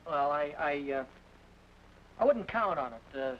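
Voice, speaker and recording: A man's voice: on the high side, expressive, measured pace. An actor playing a young American man searching for his outlaw brother, hesitant and stammering, in 1950s radio style. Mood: uneasy. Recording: dull old radio broadcast with hiss.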